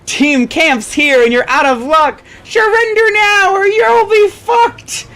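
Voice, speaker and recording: A reader as a shrill, chanting campy villainess. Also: steady tone, pushed and bright, exaggerated voice. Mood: gleeful, taunting. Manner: sing-song, drawn-out declaiming. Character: gleeful chanting female villain in campy team.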